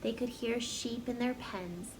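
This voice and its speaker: A woman's voice: a little high, unhurried, noisy background. A woman narrating a children's story as a storyteller, reading aloud expressively.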